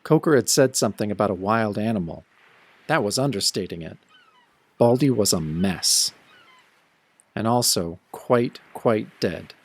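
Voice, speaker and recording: A man's voice: fairly low pitch, expressive, a little slow, long pauses, breathy edge, heavily acted. Man playing a brooding, wry horror-story narrator. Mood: wry, grim. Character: wry, world-weary young man cursed as a werewolf. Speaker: first-person narrator of an audio drama. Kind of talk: dramatic scripted narration, old radio horror show.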